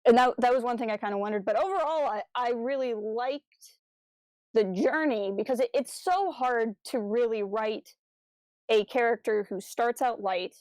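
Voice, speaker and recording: Female podcast co-host, slightly high voice, chatty and hesitant, very dry recording. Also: normal speed, darkish tone, no room sound, rough recording. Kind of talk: casual discussion of a fan audio drama review.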